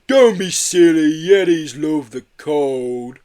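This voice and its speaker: A huge, brash lady Yeti from a storybook, acted out by a male reader. She drawls her words slowly and loudly, booming with good humour. The pitch sits above an ordinary man's talk. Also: lively intonation. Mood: cheerful.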